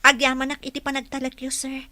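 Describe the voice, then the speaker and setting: A loyal, deferential female nurse in a radio drama. Her voice is high and sharp-edged, and she speaks politely with small hesitations. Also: completely flat delivery, quick pace, dry recording. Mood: deferential, surprised.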